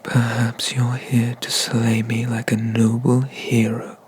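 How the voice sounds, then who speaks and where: Ancient sea dragon god, male actor, ASMR roleplay: breathy whisper, very even and unhurried, pompous and menacing. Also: mid-range voice, slight background noise, natural voice. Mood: mocking, menacing. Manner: slow, pompous, condescending, with drawn-out pauses.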